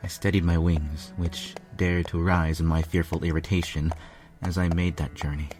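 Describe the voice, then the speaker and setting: Male story narrator, low pitch, scripted reading, quiet music underneath. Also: little pitch movement, normal speed. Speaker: fan fiction reader, narrator.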